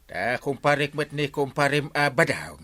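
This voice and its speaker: A man's voice: medium pitch, big pitch swings, normal speed, pushed and bright. A man with an Ilocano accent playing a flustered, boastful village captain in a radio comedy, stammering and exclaiming theatrically over music. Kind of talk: Filipino radio comedy drama acting.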